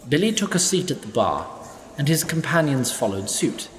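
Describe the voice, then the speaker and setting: A man's voice: on the high side, big pitch swings, normal speed, roomy sound, long reverb tail, distant mic, a little hiss or hum. A man narrating a fantasy audio drama as a wry, ancient sprite, with dry asides to the listener.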